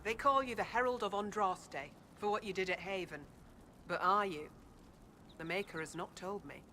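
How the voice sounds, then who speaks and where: An actor playing a stern religious zealot in a fantasy game, with a commanding, challenging delivery. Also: male voice, sits high, moderate pace, bright, forceful tone, slight background noise. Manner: commanding, accusatory. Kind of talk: video game dialogue acting.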